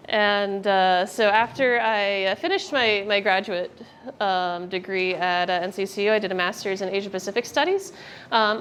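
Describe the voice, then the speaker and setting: A young American woman speaks to a workshop group in a friendly, informal way. Her delivery is chatty and halting, with frequent ums, at a middling pitch. There is clear background noise from the live room. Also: fairly bright tone. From United States. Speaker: workshop presenter, research director. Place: indoor workshop room with an audience.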